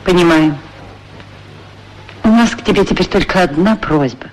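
An actor voicing a pair of talking shoes that plead politely in a radio play. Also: male voice, high-pitched, moderate pace, poor audio.

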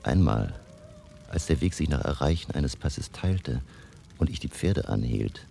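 Very deep, gravelly old vampire hunter. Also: male voice, animated, dark tone, soft background music, heavily acted. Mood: solemn, weary. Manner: solemn, measured, dramatic. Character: grave elderly vampire hunter recounting his journey in a diary. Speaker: narrator and actor. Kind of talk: German radio drama, diary narration.

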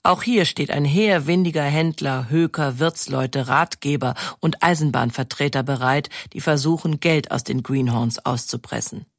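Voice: medium pitch, moderate pace, few pauses, dry recording. Dry-sounding documentary narrator. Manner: clear, informative.

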